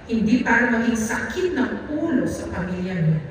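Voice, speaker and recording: A woman's voice: on the high side, very animated, moderate pace. A woman playing a stern, exasperated government welfare officer on stage, scolding bluntly. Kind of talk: amateur stage play acting. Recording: echoey hall from far off, crowd noise.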